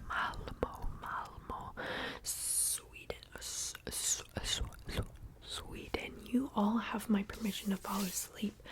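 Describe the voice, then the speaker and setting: Young female ASMR whisperer, close-mic, repetitive trigger words, casual and playful. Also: mid-range voice. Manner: whispered, soft and rambling, repeating words over and over; playful, chatty asides to viewers. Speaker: ASMR creator.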